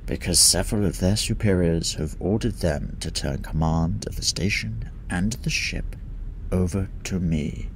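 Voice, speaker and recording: Guy acting shady imperious fleet officer, deep creaky voice, stern and threatening, sci-fi drama. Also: slight background noise, low-quality recording. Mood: coldly threatening. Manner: stern, deliberate. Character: menacing, imperious male covert-agency superior officer. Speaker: actor in a science-fiction fan drama. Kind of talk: dramatic dialogue.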